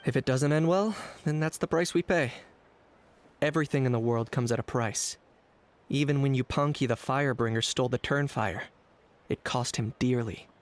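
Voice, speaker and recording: A man playing a cool, pragmatic young hunter for hire in scripted game dialogue, measured and matter-of-fact. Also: medium pitch, lightly acted. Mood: cool, businesslike. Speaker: game character voice actor. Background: game background music.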